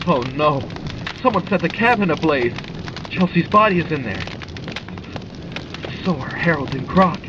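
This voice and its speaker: Man playing an earnest, desperate, animated survivor. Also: on the high side, unhurried, long pauses, dull audio, noisy background. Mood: alarmed, desperate. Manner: dramatic, thinking aloud to himself. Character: earnest, desperate man hunting a killer in a snowstorm. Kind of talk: audio drama acting.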